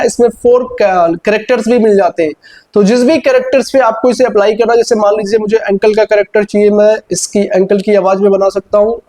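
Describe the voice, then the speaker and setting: A man's voice: high voice, darkish tone. A man with an Indian accent hosting a phone tutorial in an explanatory way, mixing in English words. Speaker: tech tutorial host.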